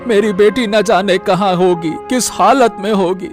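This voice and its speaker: Meek middle-aged village dad for a moral cartoon , played by a man: high voice, pleading, full of regret, faint music underneath. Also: unhurried. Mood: remorseful, despairing. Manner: pleading, lamenting. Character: distressed, remorseful village father, meek and pleading. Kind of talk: cartoon voice acting.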